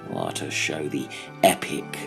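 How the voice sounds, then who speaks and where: A man acts out a grand, wistful thespian castaway for a comic radio play. The voice is very low and slow, full of creak, and lingers dramatically on phrases over music. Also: sing-song, full character voice. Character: theatrical, wistful old thespian castaway, prone to grand musings.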